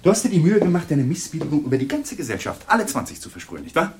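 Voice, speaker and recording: A brooding, feverish husband in a radio drama, his mid-pitched voice sweeping very widely. Courteous with guests, then defensive and muttering. Faint noise lies under it. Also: wildly swooping pitch, very fast. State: ill with flu. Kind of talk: 1980s German radio play.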